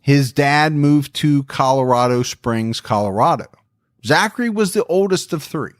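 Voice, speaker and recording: A man's voice: a little high, measured pace, no echo. Man hosting true crime podcast, dry sound. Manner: relaxed, friendly storytelling. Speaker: podcast host.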